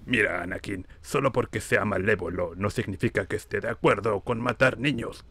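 A man's voice: lowish voice, normal speed, a bit bright, breathy edge. A man voicing an exasperated old Sith lord in a comedic parody fan dub, with theatrical, incredulous scolding.

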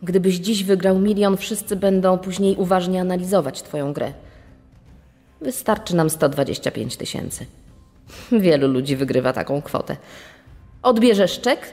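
An actress playing a calculating, cautious wife who gives stern instructions in a dramatized true-crime podcast. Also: female voice, fairly low pitch, moderate pace, dark tone. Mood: cold, warning. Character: calculating, cautious wife scheming a quiz fraud. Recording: long reverb, music underneath.

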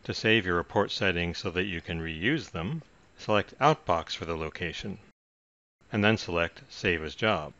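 Casting: male tutorial narrator, low-pitched, measured delivery, very dry and slightly muffled recording. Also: pushed and bright, dry recording. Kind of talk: scripted software tutorial narration.

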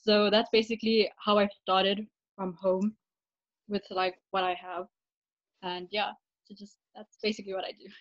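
A woman's voice: medium pitch, little pitch movement, moderate pace. A young woman sharing her handmade craft on a video call, chatty and informal. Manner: chatty, light laughs, informal. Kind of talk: casual video call chat. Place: indoor bedroom with posters. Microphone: laptop webcam on video call. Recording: dull lo-fi video call audio.

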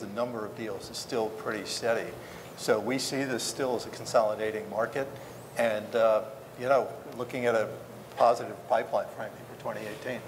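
A middle-aged man giving unscripted commentary as a panelist on a conference stage. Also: on the low side. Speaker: panelist, investment banker. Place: conference stage in a large hall. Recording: reverberant hall, faint background noise.

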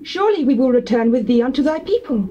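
Steadfast young widow in bible audio drama, earnest measured speech, dull hissy cassette. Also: female voice, sits high, lively intonation, mellow. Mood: earnest devotion. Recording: muffled hissy old cassette tape.

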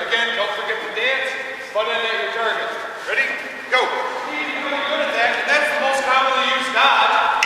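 A man in his thirties coaching a youth lacrosse clinic, explaining drills with a steady stream of "okay"s. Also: very high-pitched. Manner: instructive, punctuated by frequent "okay". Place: large indoor turf arena. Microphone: far camera, no mic. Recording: echoey arena from far off, noisy.

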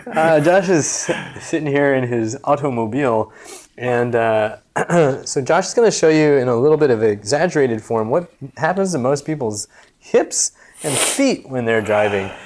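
A man's voice: mid-range voice, expressive, normal speed, a little mellow. An American man in his thirties, a movement coach giving a casual, jokey exercise demonstration in a small gym. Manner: jokey, informal explanatory. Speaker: movement coach and video host.

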